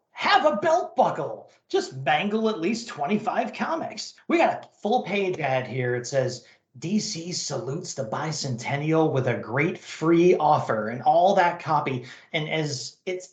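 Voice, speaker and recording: An American man hosting a podcast in a casual, chatty style. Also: fairly high pitch. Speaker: podcast host. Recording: echoey, roomy sound.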